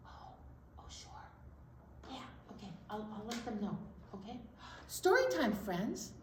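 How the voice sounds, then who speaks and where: A woman's voice: medium pitch, very animated, a little slow, a little hiss or hum. A woman hosting an interactive children's storytime, chatty and playful as she gives out instructions. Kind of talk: interactive children's activity talk. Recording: echoey room, distant microphone.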